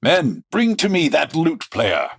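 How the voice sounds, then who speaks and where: A man's voice: on the high side, huge pitch swings, takes it slowly, creaky, music in the background, full character voice. A man playing a villainous, boastful medieval English sheriff in an audio drama, speaking pompously in archaic English. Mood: angry. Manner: pompous, theatrical.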